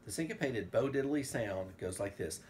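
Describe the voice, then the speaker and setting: A man's voice: lowish voice, lively intonation, normal speed, darkish tone. A man reading aloud to his young daughter like an enthusiastic, playful father, slipping between storytelling and casual asides. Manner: enthusiastic, playful, bursts into song. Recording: noisy home recording with room ambience.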